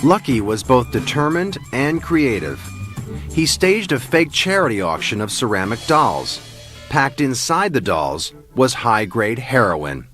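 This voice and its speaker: Man, documentary narration, scripted, dramatic, animated pitch. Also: faint music behind. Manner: measured, dramatic storytelling.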